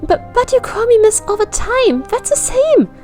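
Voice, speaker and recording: High, stammering, flustered young girl. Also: big pitch swings, normal speed, darkish tone, faint music behind. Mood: huffy, protesting. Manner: stammering, rambling, earnest. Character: flustered, timid, huffy young girl with animal ears.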